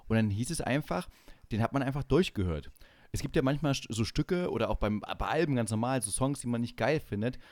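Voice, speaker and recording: A middle-aged man hosts a podcast in a casual, conversational way, telling anecdotes as he goes. His voice sits at an ordinary male pitch, recorded very dry. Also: lively intonation, a little fast, darkish tone, dry recording. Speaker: podcast host.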